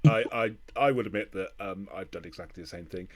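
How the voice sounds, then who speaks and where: A man hosting a casual interview podcast, speaking in halting phrases with repeated starts as he works out his point. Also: low-pitched, crowd noise. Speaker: podcast host.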